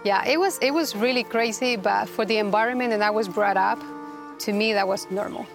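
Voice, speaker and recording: A woman from Rica, a guest telling her story in a halting, earnest way with many restarts. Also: mid-range voice, vocal fry, over music. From Costa Rica. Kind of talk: personal testimony interview.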